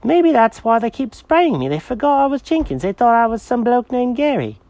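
A storyteller voicing an excitable, scatterbrained male pet cat who swoops through exclamations and questions. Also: mid-range voice, normal speed, no echo, voice pushed up. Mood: insistent.

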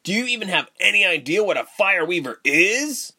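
A man's voice: medium pitch, lively intonation, a little fast, bright, forceful tone. A man voicing an intense, guarded male ship worker in an audiobook story. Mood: shocked.

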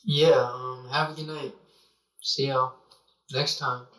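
A man's voice: mid-range voice, flat delivery, slow, pushed and bright. A man, a guest on a podcast, chatting casually in a teasing, laid-back way. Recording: echoey room, from far off, faint music.

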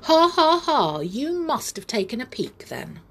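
Woman voicing a male storybook teddy bear, reproachful, clever, husky. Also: sits high, very animated, unhurried, fairly bright tone, voice pushed up, heavily acted.

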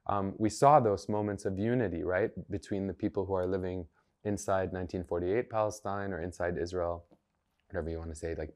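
Deep-voiced earnest man being interviewed. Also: darkish tone. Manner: earnest, emphatic, thinks aloud. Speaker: journalist and activist guest. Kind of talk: podcast interview conversation.

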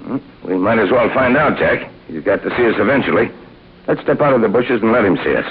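An actor playing a steady, sensible middle-aged uncle leading young adventurers in an old radio serial, calm and measured. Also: male voice, on the low side, slightly rough. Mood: measured, observant. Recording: tinny, faintly noisy old radio recording.

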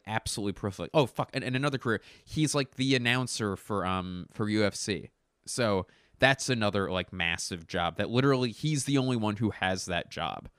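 A low-pitched man, a stand-up comedian, talking off the cuff at home. His voice is hoarse and he keeps stopping to clear his throat, yet the tone under it stays smooth and the sound is close and dry. He rambles, corrects himself mid-sentence and swears casually. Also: a bit bright, dry recording. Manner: rambling, self-correcting, profane. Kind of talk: casual talking-to-camera commentary.

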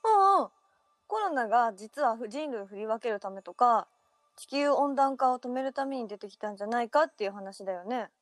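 Woman acting an eager high-voiced girl, quick reactions, dry sound. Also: expressive, no echo, faint music behind, natural voice. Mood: curious. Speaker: radio drama performer. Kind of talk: amateur radio drama acting.

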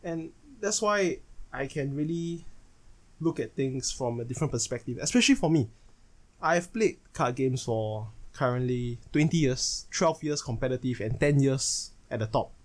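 A man's voice: medium pitch, animated, normal speed. Singaporean man hosting a solo podcast. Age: 20s. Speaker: podcast host.

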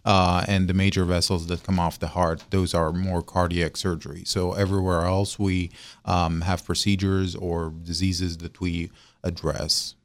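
A man speaks as an expert guest in an interview. His voice is very low and flat in pitch, and he explains in measured, halting phrases, recorded close and dry. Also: dry recording. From Syria. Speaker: guest, vascular surgeon. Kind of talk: radio interview, explanatory talk.

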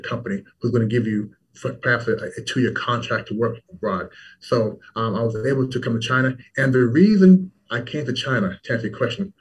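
A man's voice: mid-range voice, breathy edge, recorded from a distance, poor audio. A man from Toronto, Louisville and Washington DC, talking casually as an interview guest and repeating words for emphasis. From Toronto, then Louisville, Kentucky and Washington DC. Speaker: startup founder as guest.

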